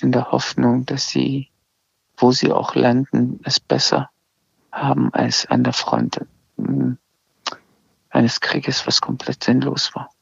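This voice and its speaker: Slow, gravelly-voiced man. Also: mid-range voice, expressive, no echo. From Iran. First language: Azerbaijani. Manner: hesitant, many restarts and fillers. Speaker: interview guest. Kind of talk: casual conversation. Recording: slightly dull lo-fi sound.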